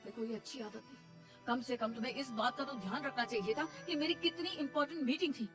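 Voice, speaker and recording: A woman's voice: high voice, fast, music in the background, lightly acted. A woman voicing a stern, exasperated young husband who complains, in a cartoon moral story.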